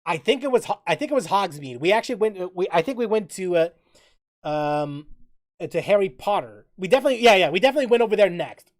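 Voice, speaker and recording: A man hosting a livestream in casual, rambling chat, often halting to repeat and rephrase himself mid-sentence. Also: sits high, animated. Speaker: livestream host.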